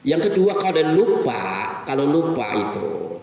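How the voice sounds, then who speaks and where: Middle-aged male preacher, chatty and lively, asking rhetorical questions; far off in a booming, echoey mosque hall. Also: moderate pace, creaky. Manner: conversational, animated, rhetorical questions. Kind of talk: weekly mosque sermon.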